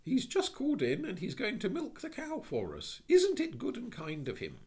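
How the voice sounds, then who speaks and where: A man voicing an eager, earnest young girl who pleads in a high, breathless rush. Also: wildly swooping pitch, moderate pace, a little mellow, exaggerated voice.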